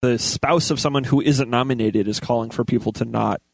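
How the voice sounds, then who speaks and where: A man's voice: mid-range voice, steady tone, a little fast, vocal fry, no room sound. A man taking part in casual panel chat as a podcast co-host.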